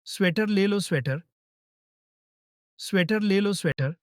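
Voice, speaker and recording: A woman's voice: mid-range voice, measured pace, no echo, studio quality, close to an everyday voice. A woman playing a rude, scornful male sweater seller in a cartoon moral story. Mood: calling out.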